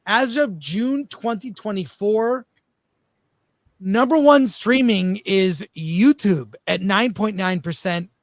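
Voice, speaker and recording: A man's voice: high voice, no echo. A man hosting a casual panel discussion, reading out and commenting on statistics. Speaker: host of panel show.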